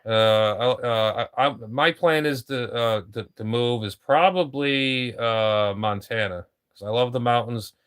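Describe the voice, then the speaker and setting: A man's voice: medium pitch, measured pace, few pauses, fairly bright tone, clear, resonant tone. A middle-aged man chatting casually on a live stream from his home radio room, rambling and complaining and often repeating himself.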